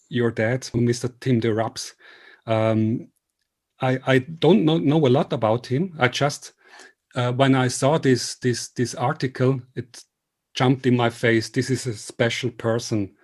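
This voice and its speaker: Swiss man, earnest and halting interviewer. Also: mid-range voice, steady tone, a little mellow. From Switzerland. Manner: earnest, polite, halting speech. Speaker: interviewer and video series host. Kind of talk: informal remote interview.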